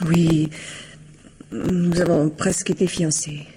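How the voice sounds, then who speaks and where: A woman playing an anxious, hesitant young married woman with a breathy, husky voice in a radio mystery drama. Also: low-pitched, level tone, takes it slowly, background noise. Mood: reluctant.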